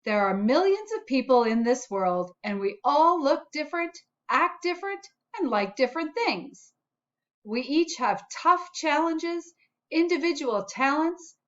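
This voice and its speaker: A woman's voice: high voice, animated, unhurried, a bit bright, highs cut off. A woman voicing a friendly, encouraging little spot character from a children's storybook. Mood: upbeat, encouraging.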